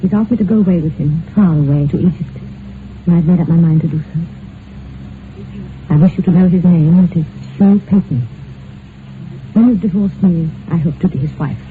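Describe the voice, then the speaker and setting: A woman's voice: on the low side, normal speed, pauses often, close to an everyday voice. Casting: woman playing genteel young British wife, wistful yet cutting, vintage radio drama sound, thin band with faint hiss. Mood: solemn, resolute. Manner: genteel, poised, archly ironic. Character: proud, unhappy young wife of a rich husband, wistful and cutting. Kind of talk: old-time radio drama acting. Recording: tinny, noisy old radio recording.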